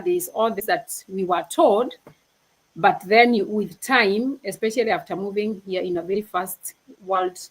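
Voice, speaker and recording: A woman's voice: medium pitch, rough recording. A young woman from Kenya giving an earnest, casual motivational talk as a panel speaker on a video call. She often restarts her sentences and laughs easily.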